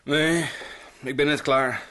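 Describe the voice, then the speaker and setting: An actor playing a doctor and scientist who works through the night, talking in a jovial, chatty way in an old radio drama. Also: male voice, medium pitch, steady tone, slow pace, bright, forceful tone. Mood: earnest. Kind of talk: vintage Dutch radio drama acting.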